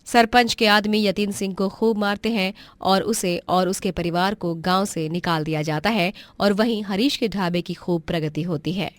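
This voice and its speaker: A woman's voice: medium pitch, fairly even intonation, normal speed, few pauses, dry recording. A woman storyteller giving a scripted narration of a moral tale.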